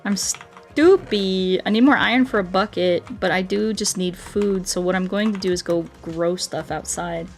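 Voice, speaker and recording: A woman is streaming a game and chatting to her viewers. Her voice sits at a middle pitch, and she talks in a playful, rambling, off-the-cuff way. Music plays behind her. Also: normal speed. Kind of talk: casual livestream chat while playing a game.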